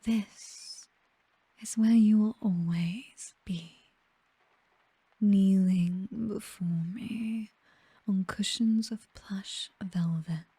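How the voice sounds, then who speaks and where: Female actor as British vampire mistress for ASMR roleplay: very soft dark tone, breathy whisper, possessive, condescending, seductive. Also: mid-range voice, steady tone, no room sound, heavily acted. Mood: cold, tender menace. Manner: slow, teasing, softly commanding. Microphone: close microphone, dry.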